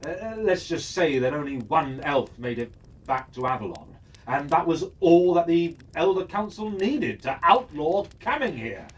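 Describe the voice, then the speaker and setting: A man's voice: on the high side, big pitch swings, moderate pace. A man telling a fantasy story in character, chatty and musing, often asking himself questions aloud. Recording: distant mic with faint background noise.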